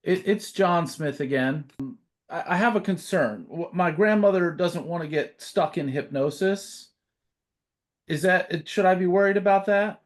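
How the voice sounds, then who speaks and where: A man's voice: high-pitched, a little slow. A man acting as a test caller, talking casually with unscripted questions.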